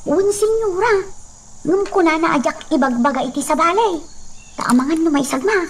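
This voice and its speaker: A woman's voice: very high-pitched, expressive, normal speed. A woman acting a chatty, deferential maidservant in a radio drama, with an Ilocano Filipino accent. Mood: gossiping, concerned. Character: gossipy, deferential maidservant reporting to her mistress.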